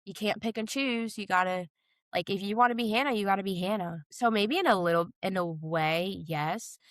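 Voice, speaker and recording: A woman's voice: medium pitch, moderate pace, dry recording, clean studio sound. A young woman co-hosting a podcast, chatting casually about pop culture in slangy, conversational language. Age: young adult. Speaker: podcast co-host.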